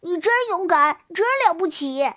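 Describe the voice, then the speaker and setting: A storyteller voicing a small, excitable young toad grandson, wide-eyed and squeaky. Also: moderate pace, dry recording, clean studio sound. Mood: admiring.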